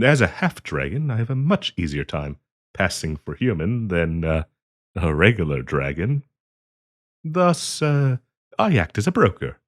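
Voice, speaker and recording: Man playing half-dragon broker and diplomat for fantasy roleplay audio: lowish voice, very animated pitch, polite, earnest, hesitant. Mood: amused, explaining. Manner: hesitant, polite, halting with frequent ums and soft chuckles. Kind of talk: roleplay audio acting, addressing the listener.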